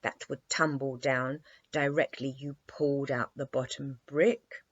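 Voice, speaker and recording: A woman's voice: extremely low pitch, steady tone, measured pace, slightly rough, full character voice. A woman voicing a bossy, teasing little girl in a children's storybook, full of scornful exclamations. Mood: critical. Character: haughty, scornful little girl, a minister's daughter. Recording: dry, slightly dull lo-fi recording.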